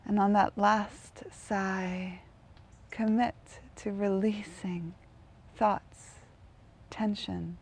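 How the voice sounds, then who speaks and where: A woman leading a guided meditation in a gentle, unhurried manner, leaving long pauses between phrases. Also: mid-range voice, fairly even intonation.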